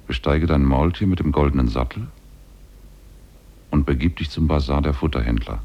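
A very deep-voiced spirit in the shape of an ape, from an old German radio play. It speaks in a measured, portentous way, solemn and used to giving orders. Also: male voice, husky, dull audio, heavily acted. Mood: solemn, commanding. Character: talking ape, secretly a jinn, solemn and commanding. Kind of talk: 1950s German radio drama acting.

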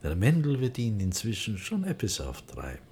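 An actor playing a proud old bookseller who grumbles in broken, heavily coloured German. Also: male voice, lowish voice, huge pitch swings, brisk, a little mellow. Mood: obliging. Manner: heavy Yiddish-flavoured broken German, fussy, dismissive. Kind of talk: radio play acting.

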